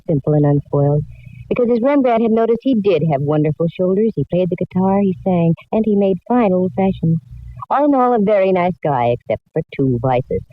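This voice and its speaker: A woman playing a shrewd, wry, self-assured private detective in a 1940s radio drama, laying things out with wisecracks. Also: lowish voice. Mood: wry, reflective. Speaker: lead actress and narrator. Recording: dull vintage radio recording.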